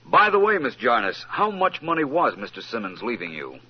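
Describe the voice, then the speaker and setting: A man's voice: mid-range voice, huge pitch swings, normal speed. An actor playing a suave, assured male detective, courteous but probing, in 1940s radio drama style. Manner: polished, courteous, incisive. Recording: muffled, noisy old radio recording.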